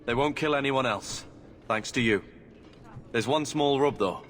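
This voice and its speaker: A man's voice: medium pitch, unhurried, a bit bright, a little hiss or hum, natural voice. Man playing an earnest ally in reverberant space. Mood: grateful, uneasy.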